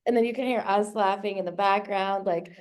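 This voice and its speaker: Host, woman of middle pitch; casual, grateful, lots of fillers. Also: a little fast, a little mellow. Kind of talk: casual hosting of a launch event.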